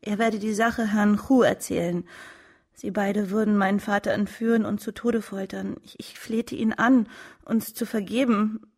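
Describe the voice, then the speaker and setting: An actress as a husky, restrained young daughter. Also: female voice, mid-range voice, fairly even intonation, normal speed, darkish tone, natural voice. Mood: subdued, distressed. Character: young woman, earnest daughter testifying before a judge. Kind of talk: radio drama acting.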